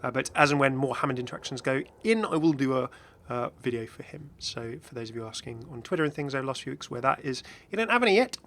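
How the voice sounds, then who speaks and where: A man's voice: mid-range voice, animated, quick pace, few pauses, a bit bright. A man giving casual, chatty commentary as host of a gaming video. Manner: chatty, frequently chuckling.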